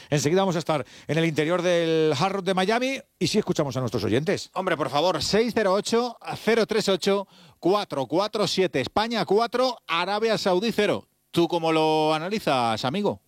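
A male sports radio host with a Spanish accent from Spain. His voice sits high and sounds close and dry. He presents a live phone-in with playful, animated banter. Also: normal speed, no echo.

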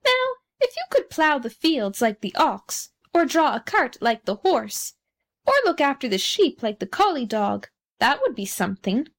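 A woman's voice: high voice, very animated, normal speed, exaggerated voice. A woman voicing a placid, practical female duck from a storybook, with a peaceable, matter-of-fact manner. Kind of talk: storybook character reading.

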